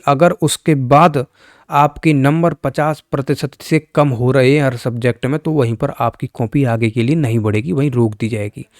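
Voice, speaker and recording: A man's voice: mid-range voice, a little mellow, no echo, clean studio sound. A man giving an informal exam explainer in a blunt, emphatic way, repeating phrases to drive points home. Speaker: exam coaching YouTuber.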